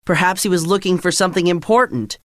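A man playing a friendly, slightly nervous teenage boy in audiobook dialogue, earnest and animated. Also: high voice, fast, dry recording, studio quality, voice pushed up. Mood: keen.

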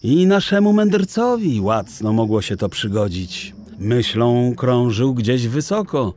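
A man narrating a children's audiobook in a theatrical, deliberate storytelling style. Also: mid-range voice, very animated, a little mellow, a little hiss or hum.

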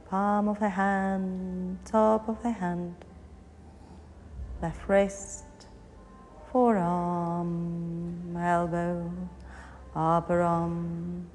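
A woman teaching yoga nidra, guiding a meditation in short phrases with pauses between them. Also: medium pitch, mellow. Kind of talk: guided meditation, body scan narration. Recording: long hall reverb, faint background noise.

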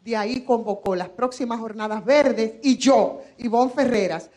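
A middle-aged Dominican woman speaks in a high voice on a studio talk show panel. She argues insistently and pushes through interruptions to make her point. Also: normal speed, mellow. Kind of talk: heated live political debate. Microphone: handheld microphone.

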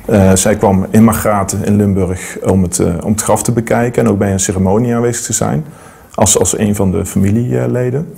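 Gravelly-voiced man, hesitant interview talk. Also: fairly low pitch, steady tone, a little fast, dark tone. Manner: hesitant, many fillers and restarts. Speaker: metal detectorist interviewee. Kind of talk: documentary interview. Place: studio against plain backdrop.

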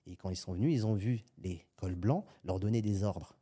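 A man's voice: on the low side, moderate pace, slightly rough. A man, a guest entrepreneur on a podcast, talking casually and acting out little scenes as he tells stories. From France. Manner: animated storytelling, acts out little scenes.

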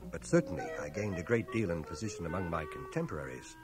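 A man's voice: deep voice, animated, quick pace, loud music behind the voice. A man acting as an earnest, stubborn fellow from a small town, the lead and narrator of a radio drama taped on cassette. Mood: wry, reflective. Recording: 1980 radio drama from home cassette transfer.

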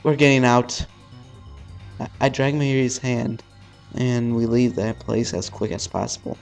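A man's voice: mid-range voice, fairly even intonation, normal speed, gravelly, dark tone. A man hosting a let's play, reading visual novel narration aloud dramatically and stumbling over the text now and then. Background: faint game music.

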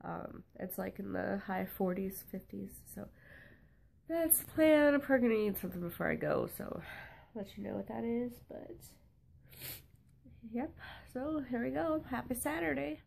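A woman's voice: on the high side, animated, moderate pace, creaky, a little mellow, a little hiss or hum. A young woman vlogging from her car on a phone held at arm's length. She is stuffed up and losing her voice a little, and she talks in a chatty, rambling way full of "like" and "um.". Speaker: fitness vlogger. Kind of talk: casual vlog chat. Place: parked car. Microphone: phone camera at arm's length.

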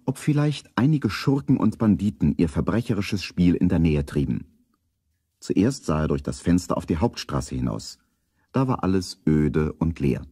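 A man acting as the calm narrator of a children's radio play, telling the story in a measured, unhurried way. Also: low voice, slightly rough, no room sound, studio quality.

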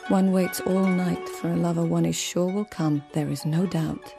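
A woman's voice: fairly low pitch, steady tone, normal speed, creaky, over music. An actress playing a witty, well-read lady of an old Japanese imperial court in a radio drama, poised and lyrical. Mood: lyrical, reflective. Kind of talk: radio drama acting and literary narration.

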